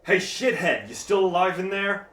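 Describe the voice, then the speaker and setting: A man playing a gruff, suspicious post-apocalyptic survivor in an audio roleplay, curt, bossy and commanding. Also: high voice, lively intonation, moderate pace, heavy background noise. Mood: taunting. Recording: echoey, roomy space.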